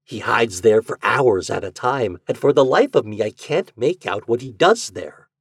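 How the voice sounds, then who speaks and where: A man voicing an eager, twitchy, unhinged man in an audiobook story, speaking with urgent, conspiratorial excitement. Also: medium pitch, normal speed, breathy edge, no echo, studio quality, pitched above the performer's own voice. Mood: agitated.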